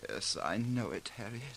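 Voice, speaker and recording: An actor playing a frightened, weak-willed musician in a 1940s radio drama, halting, trembling and nervously pleading. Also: male voice, fairly low pitch, vocal fry, a bit bright, husky, heavily acted, poor audio. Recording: hissy, clicking 1940s radio recording.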